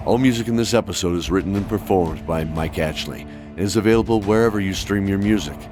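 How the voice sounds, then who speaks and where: A man with a deep voice narrates in a measured, scripted storytelling style. Music plays softly underneath him.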